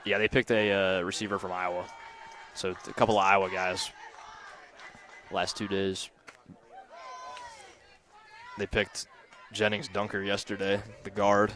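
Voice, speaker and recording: A young man giving conversational color commentary on a live softball broadcast. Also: deep voice, flat delivery, unhurried, pauses often, some chatter behind. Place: outdoors at a softball field.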